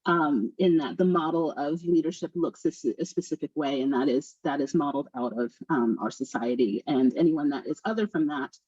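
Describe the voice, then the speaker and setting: Thoughtful woman panelist, soft husky voice, muffled dry recording. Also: deep voice, brisk, few pauses, no room sound. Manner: thoughtful, hesitant, many "um"s. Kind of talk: reflective panel discussion. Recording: dull lo-fi sound.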